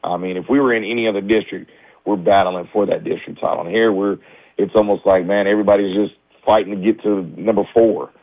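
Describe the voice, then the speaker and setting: A man, a high school basketball coach, talking candidly in a radio interview with lots of restarts; his voice is a little raspy as he recovers from the flu. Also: fairly even intonation, fast, no room sound. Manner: candid, many restarts and fillers. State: recovering from flu, low energy. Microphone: phone line. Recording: tinny dry phone line.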